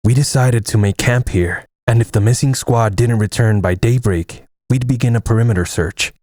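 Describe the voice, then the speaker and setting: An actor playing a weathered American soldier who tells a wartime adventure in measured, reflective dramatic narration. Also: male voice, medium pitch, darkish tone, no room sound, clean studio sound, lightly acted.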